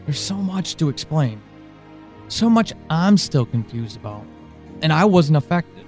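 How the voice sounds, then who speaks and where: A man acting as an anxious, higher-voiced pleading guy. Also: sing-song, darkish tone, soft background music. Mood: uneasy, searching. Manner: halting, earnest, with pauses and emphatic swings. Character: anxious, pleading man reaching out to a lost loved one. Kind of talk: dramatic monologue in an audio drama.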